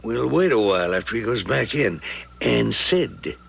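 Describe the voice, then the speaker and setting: An American actor playing a hard, bossy small-time bank robber in a gruff voice, in 1970s radio drama style. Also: male voice, fairly low pitch, animated, a little slow, a bit bright, full character voice. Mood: bossy, irritated. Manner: gruff, domineering, colloquial.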